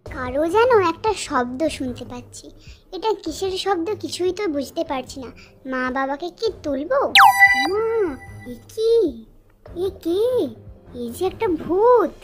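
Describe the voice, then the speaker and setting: A woman's voice: extremely high pitch, animated, measured pace, long pauses, over music. A woman voicing a brave, curious young schoolgirl in a children's cartoon story, with an eager, childlike delivery.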